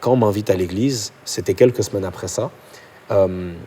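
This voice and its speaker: A young man from Portugal, a pastor, telling his conversion story in a podcast chat, animated and then hushed at intimate moments. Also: husky. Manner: fast, animated storytelling, drops low and soft at intimate moments. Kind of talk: personal testimony in a podcast chat.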